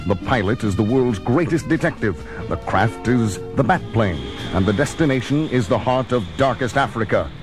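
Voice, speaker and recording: An actor as a dramatic, authoritative, declamatory narrator of a 1970s children's audio drama. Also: male voice, medium pitch, animated, normal speed, hardly any pauses, natural voice. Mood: dramatic, urgent. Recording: old vinyl record with music.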